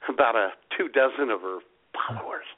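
A man's voice: mid-range voice, a little fast, a crowd in the background. A man co-hosting a podcast, chatting casually, teasing his partner and laughing often. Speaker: podcast co-host. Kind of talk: casual chat. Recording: tinny phone-like sound.

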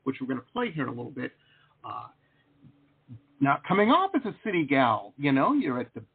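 Man, middle-aged, host, husky voice, rambling animated talk, tinny phone-like sound. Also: mid-range voice, a little fast. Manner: rambling, animated storyteller with many fillers. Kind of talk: casual interview chat. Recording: phone-like lo-fi line.

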